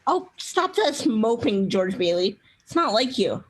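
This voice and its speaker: A woman playing a gently meddling middle-aged mother in a radio play, coaxing her grown son in a husky voice. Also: fairly high pitch, sing-song, measured pace, full character voice, low-quality recording. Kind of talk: old-fashioned radio play acting.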